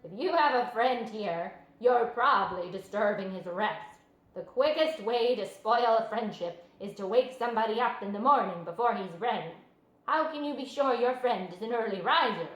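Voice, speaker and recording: Old storybook sheep, played by a woman. Stern, disapproving lecturer with varied pitch; echoey room. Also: expressive, moderate pace, muffled recording, lightly acted. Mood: scolding. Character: old sheep, stern, scolding, disgusted with youngsters.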